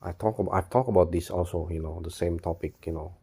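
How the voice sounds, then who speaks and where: Man, non-native English, low-pitched, soft dark tone, halting, repetitive, off-the-cuff monologue. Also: steady tone, vocal fry, slightly rough. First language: not English. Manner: repetitive, halting, restarts words and phrases often. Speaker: video blogger. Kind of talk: spontaneous rambling monologue.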